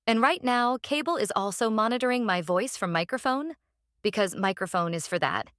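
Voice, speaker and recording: A woman's voice: on the high side, expressive, normal speed, a bit bright, clear, resonant tone, dry recording. Woman walking through a tutorial, dry sound. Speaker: tutorial presenter. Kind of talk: casual software tutorial narration.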